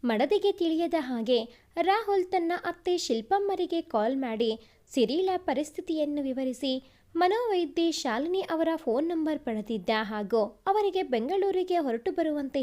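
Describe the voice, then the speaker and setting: A woman reads a sentimental family story aloud as a storyteller, in a measured, formal way. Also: sits high, mellow. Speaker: storyteller, narrator.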